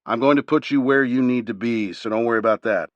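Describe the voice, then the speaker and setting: A man's voice: mid-range voice, fast talker, dry recording, lo-fi sound, close to an everyday voice. A man reading aloud, voicing a self-assured male veteran pilot with a wry tone.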